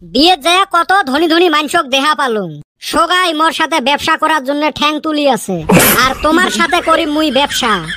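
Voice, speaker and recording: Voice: high-pitched, animated, normal speed, sharp tone, slight background noise. An actor playing a boastful cartoon village man who dreams of riches, speaking in a drawling northern Bengal rural dialect. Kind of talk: comic cartoon dialogue.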